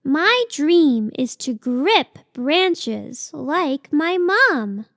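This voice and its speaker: A woman's voice: high-pitched, very animated, drawn-out words, a bit bright, dry recording, studio quality, heavily acted. A woman voicing a cute, earnest young female sloth baby in a children's picture book read-aloud, with simple, childlike phrasing. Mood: hopeful, earnest.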